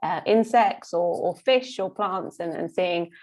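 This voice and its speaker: A woman's voice: lowish voice, unhurried, a little mellow. A British woman from the UK introducing her research on a video call, chatty and hesitant with many fillers. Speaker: panelist, aquatic ecologist.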